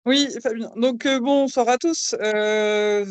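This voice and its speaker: A woman's voice: on the high side, steady tone, slow, dry recording. Woman vice-president speaking on a video call. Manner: hesitant, frequent fillers. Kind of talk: casual spoken update on a video call.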